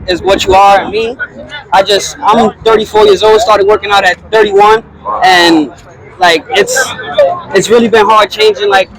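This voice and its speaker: Hesitant high-voiced male interviewer. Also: steady tone, rough recording. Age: 30s. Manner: halting, hesitant questions. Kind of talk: casual street interview. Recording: outdoor crowd chatter.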